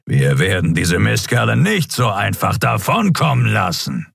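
A grim, commanding captain, as a man puts him on, growling orders in a raised, gravelly voice. The sound is dry and close. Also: lowish voice, sing-song, unhurried, a bit bright, no room sound, heavily acted. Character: grim, vengeful male warship captain.

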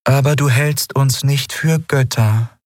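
A man's voice: medium pitch, fairly even intonation, slow, darkish tone, no room sound, studio quality, voice pushed up, close to an everyday voice. A man voicing a cool, cynical winged female being of great age in an audiobook reading.

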